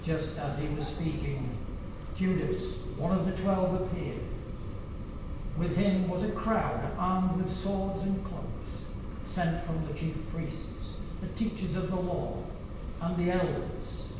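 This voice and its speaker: A man with a fairly high voice narrates scripture in a dramatic reading. He sounds distant in a large church with long reverb and clear background noise. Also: takes it slowly. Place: large echoing church, away from mic. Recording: distant echoey church hall, clearly noisy.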